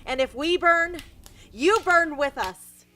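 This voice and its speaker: A woman's voice: high voice, lively intonation, takes it slowly, music in the background. A woman acting a defiant, earnest young rebel heroine in an amateur radio drama. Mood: defiant, impassioned.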